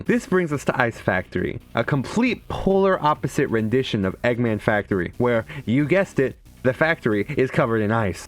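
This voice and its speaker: A young man giving casual, comedic, scripted video game commentary in an irreverent, profane, joking manner. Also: mid-range voice. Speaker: video game reviewer and streamer. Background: faint music.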